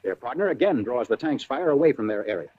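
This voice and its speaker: A man's voice: medium pitch, very animated, fast talker, muffled recording, noisy background. An American man narrating a 1940s military training film from a script, in a punchy, colloquial style full of wartime slang, on a vintage film soundtrack.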